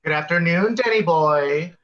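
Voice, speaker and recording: Man acting jealous flamboyant sales rep, knowing, sharp bright tone, dull video-call audio, sitcom. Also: on the high side, measured pace. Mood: arch, knowing. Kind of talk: sitcom table read.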